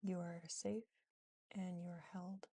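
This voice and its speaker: A woman guiding a yoga nidra relaxation in a soothing, gentle, unhurried manner with long pauses. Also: lowish voice, little pitch movement, dry recording. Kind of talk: guided relaxation meditation.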